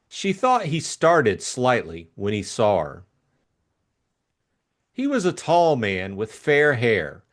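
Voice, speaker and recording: A man reads scripted fiction as an audiobook narrator. His pitch is mid-range and moves in a lively, expressive way.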